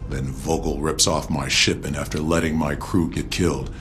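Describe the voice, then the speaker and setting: An actor playing a proud, vengeful gang boss with a cold, controlled menace in a scripted video game monologue. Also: male voice, extremely low pitch, flat delivery, moderate pace, a bit bright. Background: music behind the voice.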